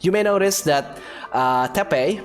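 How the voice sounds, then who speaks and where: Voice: medium pitch, animated, unhurried. A streamer giving casual commentary over a game playthrough, reacting aloud in a playful way.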